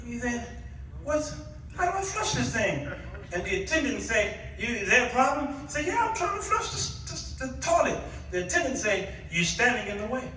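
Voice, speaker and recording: Male preacher, high and bright voice, dramatic repeated punchlines, call-and-response delivery, recorded in a big echoey church. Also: big pitch swings, moderate pace. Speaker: guest preacher. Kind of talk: animated sermon with repetition and call-and-response. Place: church sanctuary before a responding congregation. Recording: very echoey hall, distant microphone.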